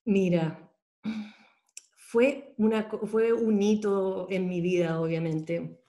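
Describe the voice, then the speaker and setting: A woman in her thirties with a Chilean Spanish accent, being interviewed and telling her life story in a lively, rambling way. She stacks up fillers, restarts and little asides as she goes. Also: mid-range voice, takes it slowly. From Sweden. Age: 30s. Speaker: actress, interview guest. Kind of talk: casual interview.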